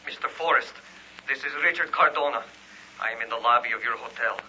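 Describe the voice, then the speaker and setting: A man's voice: mid-range voice, a little fast, pushed and bright, husky. An actor playing an urgent, insistent man with a grim warning in an old radio horror drama. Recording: muffled vintage radio recording.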